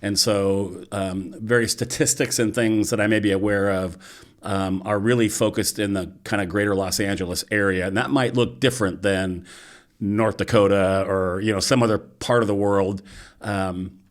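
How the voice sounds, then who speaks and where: Measured middle-aged man, serious tone. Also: lowish voice, steady tone. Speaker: nonprofit executive director, guest. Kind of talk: interview. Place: studio with green curtain. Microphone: studio mic on boom arm.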